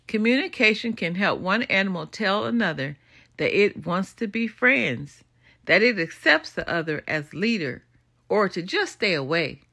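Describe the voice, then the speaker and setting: An older woman reading a children's nonfiction book aloud as a storytime host, in an expressive, deliberate way. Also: medium pitch, gravelly. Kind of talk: storybook read-aloud narration.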